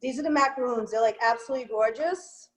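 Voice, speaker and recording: A woman chats casually and praises every dessert with open enthusiasm. Her voice is a touch high, and she talks in a relaxed way. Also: fast, slight background noise. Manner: gushing, effusive praise. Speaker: co-presenter and taster.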